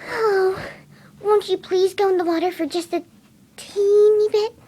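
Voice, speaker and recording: A woman voicing a timid, kind-hearted cartoon pegasus pony who speaks in a soft, gentle, coaxing way. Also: very high voice, fairly even intonation, measured pace. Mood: pleading.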